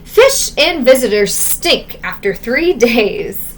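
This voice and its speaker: A man's voice: very high voice, big pitch swings, slow, a little hiss or hum, exaggerated voice. A woman voicing a clever, preachy man in a storybook who hands out proverbs in a pointed, sententious way. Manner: sententious, pointed advice-giving.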